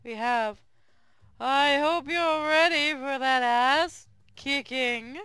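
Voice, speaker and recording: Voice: high-pitched, takes it slowly, pushed and bright, no echo, clean studio sound. A co-streamer chatting casually over a game, blunt, crude and teasing, sometimes reading a line of dialogue. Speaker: co-streamer commenting and reading lines.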